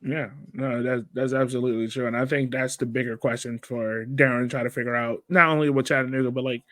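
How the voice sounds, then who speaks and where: A man's voice: mid-range voice, steady tone, a little fast, fairly bright tone, dry recording. A man hosting a casual sports podcast discussion, talking loosely and conversationally. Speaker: host of a soccer fan podcast. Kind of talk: casual post-game discussion.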